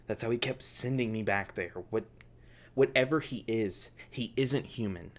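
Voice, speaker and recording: A man playing a shaken, traumatized researcher in an audio roleplay, speaking in a halting, stammering monologue. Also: fairly low pitch, natural voice. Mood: urgent, fearful. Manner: halting, stammering, faltering delivery. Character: shaken, traumatized male researcher recounting torment. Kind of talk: ASMR roleplay acting, intimate monologue.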